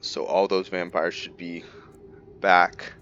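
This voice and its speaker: Deep voiced guy doing chill game commentary, curious, thinks out loud, bit of hiss. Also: flat delivery, takes it slowly, muffled recording. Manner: curious, thinks out loud, with the odd hushed whisper. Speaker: gameplay commentator. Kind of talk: casual let's-play commentary.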